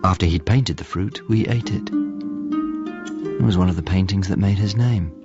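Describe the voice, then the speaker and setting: Low-voiced, reflective man, music beneath. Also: a little slow. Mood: wistful recollection. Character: thoughtful male painter's model grieving a lost friend. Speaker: lead actor and narrator. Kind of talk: radio drama, reflective first-person narration. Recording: muffled audio with music underneath.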